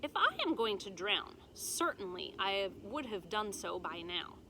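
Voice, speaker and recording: A woman's voice: mid-range voice, very animated, unhurried, bright, forceful tone, a little hiss or hum, exaggerated voice. A woman reading a children's storybook aloud, voicing the private thoughts of a proud, indignant toy rabbit. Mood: dry, reasoning.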